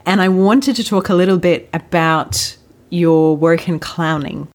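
A woman's voice: fairly low pitch, unhurried, darkish tone. Enthusiastic woman hosting a podcast. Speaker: podcast host. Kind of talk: podcast interview.

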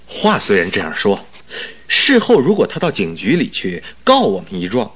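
An actor playing a good-natured, slightly doubtful sidekick in a radio drama, with a husky voice and a questioning manner. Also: male voice, on the low side, wildly swooping pitch, normal speed, fairly bright tone, exaggerated voice. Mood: puzzled, doubtful. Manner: questioning, interjecting. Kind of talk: Chinese radio drama acting.

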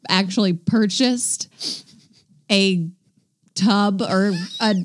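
A woman's voice: medium pitch, extremely slow. Woman, nasal congested voice, chatty, laughs often, casual podcast co-host. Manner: giggly, chatty.

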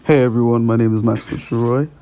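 A man's voice: medium pitch, steady tone, fast talker, dark tone, a little hiss or hum. A man, introducing himself in a friendly way as a podcast guest. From Ireland. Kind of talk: casual self-introduction.

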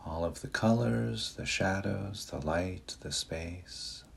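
Male meditation guide: deep and soft, very unhurried, gentle delivery with long pauses. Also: gravelly, a little hiss or hum.

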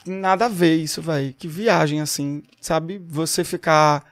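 A young man from Pernambuco with a Pernambuco Portuguese accent, a singer chatting as a guest on a podcast in an animated, storytelling way. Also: on the high side, a little slow. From Pernambuco, Brazil. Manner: animated, storytelling, quotes people. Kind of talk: casual podcast chat.

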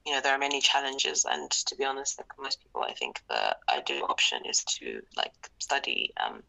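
A young woman from Zimbabwe speaking as an interview guest, her very low voice full of gravelly fry. She talks reflectively with lots of fillers and often drops into breathy near-whispers. Her tone still comes through bright and sharp. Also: wildly swooping pitch, normal speed, low-quality recording. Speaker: podcast guest. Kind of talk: casual interview conversation.

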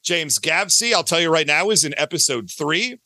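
A man's voice: on the high side, expressive, normal speed, pushed and bright, dry recording. A talkative man speaking casually and emphatically as a podcast guest, often repeating phrases to drive a point home. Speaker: podcast guest, audio drama creator. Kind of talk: casual interview chat.

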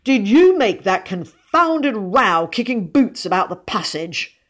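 A woman's voice: medium pitch, sing-song, slow, pitched above the performer's own voice. A woman voicing an angry middle-aged father in a story, spluttering with compressed fury. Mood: furious. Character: irate, blustering middle-aged father roused from sleep.

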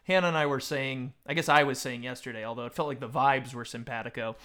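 A man's voice: mid-range voice, fairly even intonation, fast talker, sharp tone. A man hosting a podcast in casual banter, with a wry, teasing way of talking. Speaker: podcast host.

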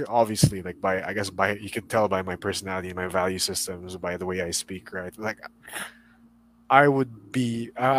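A man's voice: lowish voice, a bit bright, rough recording. A young man with a Southern Californian accent, chatting casually as a guest, rambling with many fillers and restarts. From Philippines. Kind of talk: casual chat.

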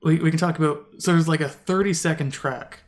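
A man's voice: fairly high pitch, steady tone, a little fast. A man co-hosting a casual album review podcast, talking in a rambling way with many restarts and fillers. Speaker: podcast co-host.

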